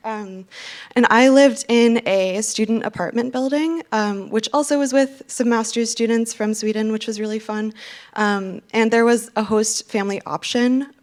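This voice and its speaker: A woman's voice: fairly high pitch, normal speed, darkish tone. A young woman in her twenties, a university senior, telling an audience about her year abroad in a casual, upbeat way with frequent ums. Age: 20s. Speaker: student speaker. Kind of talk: presentation at an info session.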